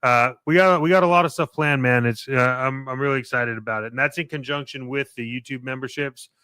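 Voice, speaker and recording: Man, podcast co-host, bright forward tone, clean clear voice, loose hesitant phrasing, casual promo chat. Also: mid-range voice, brisk, no echo.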